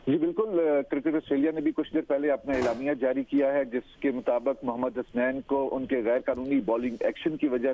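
A male field reporter giving a formal news update, measured and pausing often on short hesitations. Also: medium pitch, gravelly. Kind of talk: news report over the phone. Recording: tinny phone line.

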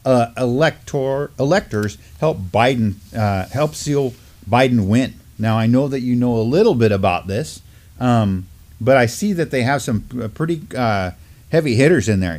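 A man's voice: medium pitch, a little mellow. A middle-aged man co-hosting a casual news discussion in loose, informal phrasing. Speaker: co-host. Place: small studio, seated at a table.

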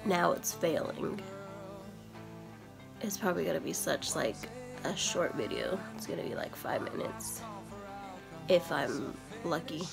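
A woman's voice: sits low, lively intonation, measured pace, gravelly, pauses often, over music. A young woman vlogging casually in a parked car, tipsy, loose and giggly, swearing freely. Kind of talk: casual vlog chat.

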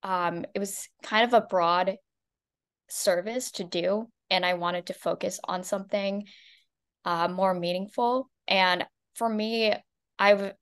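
A woman from the United States co-presenting a webinar over a video call, earnest and a little halting. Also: fairly low pitch. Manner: earnest, with some restarts. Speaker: social media marketer, co-presenter.

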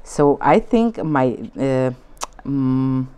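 Low-voiced Hungarian-accented nail art teacher. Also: female voice, expressive, drawn-out words, clear, resonant tone. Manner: chatty, warm asides, broken English, frequent fillers. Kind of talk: casual demonstration talk. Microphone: off-camera voice over hands-only shot.

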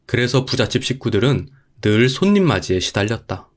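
A male storyteller reads a scripted folktale. His voice sits at a middle pitch and moves with lively, varied intonation. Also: brisk. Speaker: storyteller, narrator.

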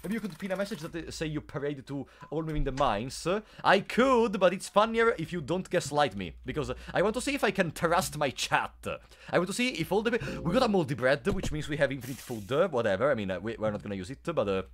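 Lively man streaming a game. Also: on the high side, fairly quick. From Italy. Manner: chatty, playful, talks to chat. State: sore throat. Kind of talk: casual live-stream commentary.

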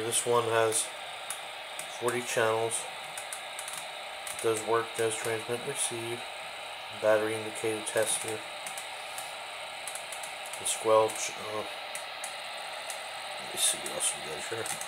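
A man giving a casual, off-the-cuff show-and-tell as a hobby video host, speaking in halting, trailing phrases in a kitchen behind a handheld camera. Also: lowish voice, fairly even intonation. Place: home kitchen, indoors. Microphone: handheld camera, behind the lens. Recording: clearly noisy home camera recording.

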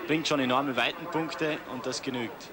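A man's voice: mid-range voice, fairly even intonation, moderate pace, crowd noise. A man with an Austrian accent giving colloquial expert analysis during live ski flying commentary. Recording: noisy outdoor sports broadcast.

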